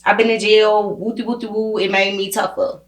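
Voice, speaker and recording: A woman's voice: mid-range voice, level tone, fairly quick. A woman interviewer chatting casually and emphatically, with blunt slang and swearing. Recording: roomy, echoing sound.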